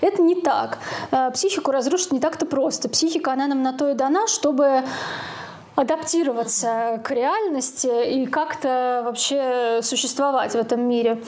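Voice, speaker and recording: High female voice, podcast co-host, opinionated, emphatic, casual sweary talk. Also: normal speed, a little mellow.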